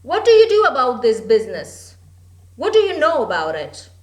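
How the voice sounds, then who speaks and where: A woman reading the part of a pompous, grave king in a storybook, speaking loudly and with heavy emphasis. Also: high-pitched, wildly swooping pitch, normal speed, reverberant. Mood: demanding. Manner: grave, emphatic, loud proclamations.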